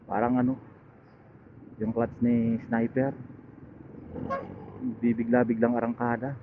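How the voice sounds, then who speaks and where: A man with a Filipino accent chats casually and haltingly to viewers as a motorcycle vlogger, thinking aloud through a helmet intercom mic. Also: medium pitch, completely flat delivery. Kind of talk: casual unscripted ride chat to viewers. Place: outdoors, riding a motorcycle. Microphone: helmet intercom headset linked to action camera. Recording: phone-like helmet intercom mic, loud engine noise. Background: loud motorcycle engine and road noise.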